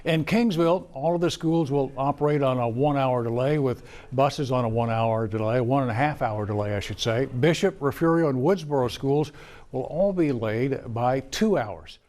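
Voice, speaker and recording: A man's voice: mid-range voice, normal speed, a little mellow. An American man reading the news as a TV anchor.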